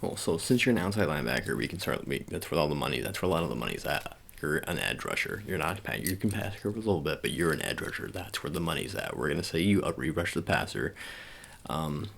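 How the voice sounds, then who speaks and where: A man's voice: extremely low pitch, big pitch swings, fast, gravelly, fairly bright tone, a little hiss or hum. A man doing an informal ASMR roleplay as an agent, improvising hesitantly with playful slang in a one-sided personal chat.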